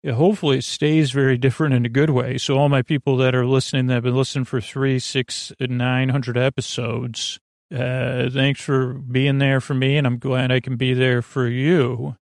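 A man with a creaky voice hosting a podcast in a rambling, halting, casual monologue that keeps trailing off and circling back. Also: mid-range voice, level tone, dry recording, clean studio sound. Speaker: podcast host.

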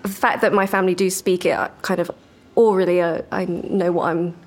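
Measured, thoughtful woman violinist. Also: on the low side, fairly even intonation. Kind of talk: casual interview chat.

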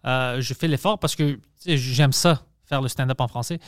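Sweary bilingual comic host, halting accented Quebec French. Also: male voice, mid-range voice, no room sound, clean studio sound. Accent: non-native Quebec French with English mixed in. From Montreal, Canada. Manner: sweary, halting French peppered with English slang. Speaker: podcast host and stand-up comedian. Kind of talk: casual podcast chat.

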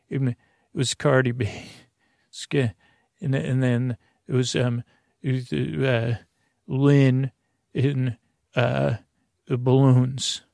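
A man voicing a drowsy, giggly small kid who mumbles haltingly as if being interviewed half asleep. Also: mid-range voice, level tone, gravelly, a little mellow, no room sound, clean studio sound.

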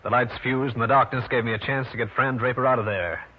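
An actor playing a tough, wisecracking private detective in an old-time radio drama, brusque, slangy and sardonic. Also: male voice, medium pitch, fairly even intonation, fast, lightly acted. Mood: matter-of-fact narrating. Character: tough, wisecracking New York private detective, brash and hard-boiled. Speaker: lead actor and narrator. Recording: noisy, muffled old radio recording.

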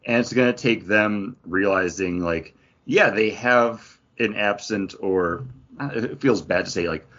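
A man's voice: lowish voice, measured pace, fairly bright tone, muffled recording. A man co-hosting a podcast, chatting casually and enthusiastically as he reviews, sometimes slipping into imagined quotes. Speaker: podcast co-host reviewing comics.

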